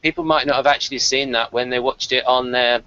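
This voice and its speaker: A man's voice: medium pitch, steady tone, fairly quick, sharp tone, smooth. A man hosting a casual review discussion of a television series. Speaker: podcast host reviewing a TV series. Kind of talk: casual review chat with read-out notes. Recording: dull, slightly lo-fi audio.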